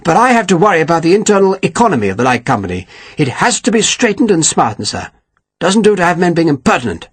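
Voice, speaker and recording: Captain: man playing a high, husky, peevish officer, all stiff grievance. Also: big pitch swings, fast talker, dry recording. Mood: indignant. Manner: prim, complaining, sticks "sir" on everything. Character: fussy, indignant male army captain.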